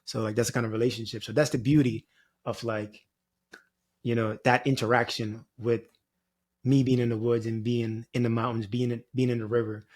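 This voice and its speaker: A man's voice: mid-range voice, little pitch movement, normal speed. Man talking reflectively, loosely and informally. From Jamaica. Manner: informal, meandering, frequent "you know" and "like". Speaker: spiritual guide, podcast guest. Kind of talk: reflective interview talk.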